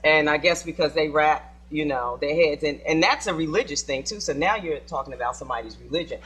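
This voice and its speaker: A male talk show host with a bright, slightly husky voice, speaking a little higher than most men. He moderates a panel in a studio with an audience, probing and informal, and often echoes his guests' answers. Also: brisk.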